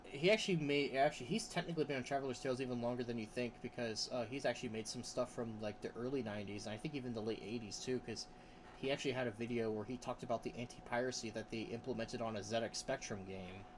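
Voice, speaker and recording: A man's voice: fast talker, background noise. A man chatting casually on a livestream, rambling with restarts and laughing often between thoughts. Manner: says his voice range is pretty high. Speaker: streamer. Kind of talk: casual livestream chat, reading chat aloud.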